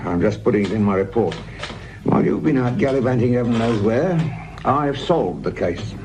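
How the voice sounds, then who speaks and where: An actor playing a cynical, world-weary older British police sergeant near retirement, in a naturalistic radio drama. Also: male voice, mid-range voice, lively intonation, moderate pace, a little mellow, close to an everyday voice. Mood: wry, bitter. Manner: sardonic, world-weary, paternal to his junior. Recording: muffled radio recording with noise.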